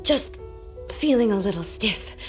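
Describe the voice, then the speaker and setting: A woman's voice: medium pitch, lively intonation, unhurried, close to an everyday voice. A woman voicing a proud, formal and guarded female ruler in a video game. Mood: restrained. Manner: formal, restrained in talk, commanding in battle. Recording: loud game music behind.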